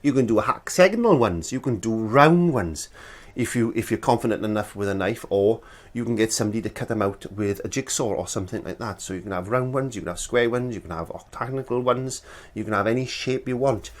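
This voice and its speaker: A man's voice: fairly low pitch, a little fast. Middle-aged male art instructor, stuffy-nosed from a cold, rambling and friendly, lapel mic in a small studio. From Wales. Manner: chatty, rambling, many restarts and fillers. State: congested from a cold. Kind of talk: casual demonstration lesson.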